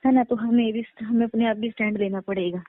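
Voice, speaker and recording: A woman's voice: fairly high pitch, fairly even intonation, fairly quick. A young woman chats casually on a phone call, often checking for agreement. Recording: narrowband phone line, faint noise.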